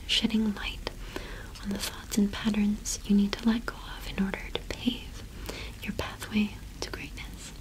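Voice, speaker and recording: A young woman playing a friendly, gentle cottage witch shopkeeper in a whispered ASMR roleplay, unhurried and attentive, close to the microphone. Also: mid-range voice, natural voice, poor audio. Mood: calm, reading aloud. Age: young adult.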